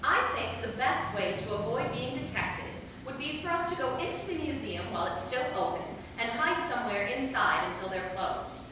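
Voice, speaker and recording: A strong-willed, practical vampire woman played by an actress, with a slightly high, animated voice. She speaks firmly in a staged reading, caught in a very echoey hall. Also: moderate pace, few pauses, natural voice. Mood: decisive. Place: theater hall, recorded from a distance. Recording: very echoey hall, far mic, noisy.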